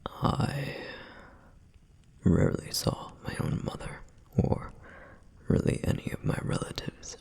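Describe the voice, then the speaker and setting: A man voicing a dutiful, protective male flame demon in an ASMR roleplay, with a soft whispered and wistful, reflective delivery. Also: medium pitch, vocal fry, slightly rough, full character voice.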